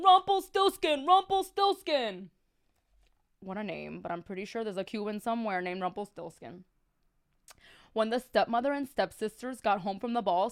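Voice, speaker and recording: A woman reading children's stories aloud in a comic, casual way, with sarcastic, chatty asides and slangy Spanish interjections. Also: medium pitch. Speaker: storyteller on a livestream.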